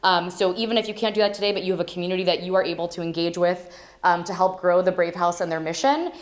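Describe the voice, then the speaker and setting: A woman who chairs a nonprofit board, speaking loudly and chattily to an event audience. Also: fairly low pitch, fast talker. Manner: loud, chatty, grateful. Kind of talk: informal speech to an audience. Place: indoor event space with audience.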